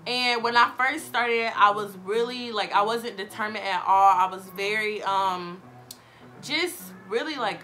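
Bright, chatty young woman vlogger. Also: medium pitch, measured pace. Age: young adult. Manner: chatty, encouraging, addresses viewers as you guys. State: recovering from illness, sniffly. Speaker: vlogger, college student. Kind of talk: casual advice vlog to camera. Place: bedroom, sitting on a bed.

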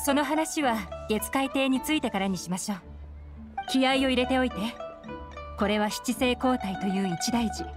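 A woman's voice: on the high side, normal speed, lightly acted. Anime game lead: composed, shrewd young woman, woman performer, assured, matter-of-fact, light music underneath. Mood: frank, serious. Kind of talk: anime video game dialogue.

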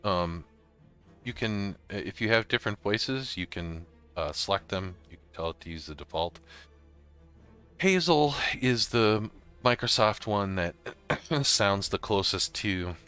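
A middle-aged man explaining software step by step in a casual, informal tutorial style. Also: fairly low pitch, expressive, measured pace, clear, resonant tone, faint music behind. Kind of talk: casual screen-recorded walkthrough.

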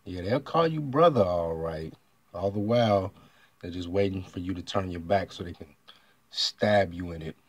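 A man from the United States talking straight to his audience about an online argument. He speaks emphatically and often clears his throat. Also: low voice, normal speed. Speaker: vlogger. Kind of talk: monologue retelling an online argument.

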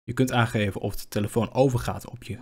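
Slightly husky man presenting a software tutorial. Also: medium pitch, steady tone, fast. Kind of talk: informal screen-share tutorial narration.

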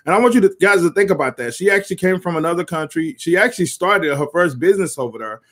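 A man's voice: high voice, animated, fast, fairly bright tone. A man, hosting a casual livestream interview over a video call from a small home office, talking with lots of energy and hyping up his guest. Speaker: host. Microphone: webcam on a video call.

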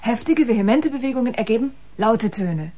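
A woman's voice: fairly high pitch, animated, a little fast, music in the background, natural voice. A woman playing a proud, intense musician aboard a spaceship in a radio play, speaking emphatically. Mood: explaining proudly. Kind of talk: German radio play acting.

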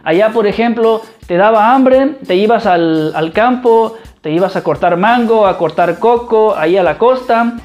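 A man from Mexico with a Mexican accent, chatting casually as a vlogger in an informal, halting, repetitive way. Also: high voice, a little mellow, muffled recording, faint music behind. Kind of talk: casual chat answering viewer questions.

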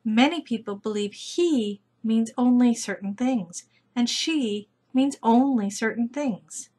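A woman reads a children's picture book aloud. Her voice is fairly high with a husky grain, and she takes it very slowly, phrasing each line with care. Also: dark tone.

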